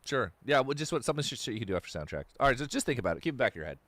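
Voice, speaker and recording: A man's voice: medium pitch, big pitch swings, racing, fairly bright tone, dry recording. A man in his thirties hosting a casual call-in stream, rambling and joking with plenty of swearing. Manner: rambling, profane, jokey. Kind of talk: casual chat.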